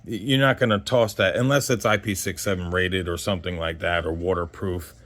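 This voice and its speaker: A man's voice: low voice, steady tone, brisk, a bit bright. A man in his forties giving a casual, unscripted gear review as host, in a rambling conversational way that trails off mid-thought. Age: 40s.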